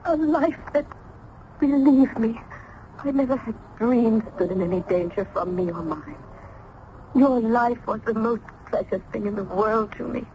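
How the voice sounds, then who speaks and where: A lonely, anguished young daughter in a vintage radio play. Her high voice is earnest and pleading, with melodramatic sorrow. The recording is slightly dull with faint noise. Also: lively intonation, measured pace. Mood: tender sorrow. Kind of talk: old-time radio drama acting.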